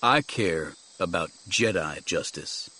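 A grim warrior-monk master, acted by a male narrator for an audiobook. He speaks very low, in terse, controlled commands, stern and unyielding. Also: expressive, takes it slowly, pushed and bright, slight background noise, exaggerated voice. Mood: cold, resolute.

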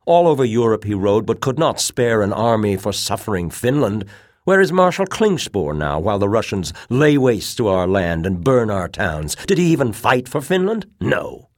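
A man's voice: fairly low pitch, no echo, clean studio sound, pitched above the performer's own voice, full character voice. A man voicing a fervent, bitter, scornful aristocratic officer in an audiobook, with a ringing, heated delivery. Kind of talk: dramatized audiobook dialogue.

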